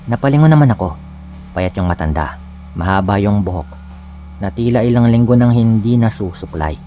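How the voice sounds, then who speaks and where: Deep-voiced Filipino man narrating scary stories. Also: darkish tone. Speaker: horror story narrator. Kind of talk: first-person scripted scary-story narration. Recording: music bed under the voice. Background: background music.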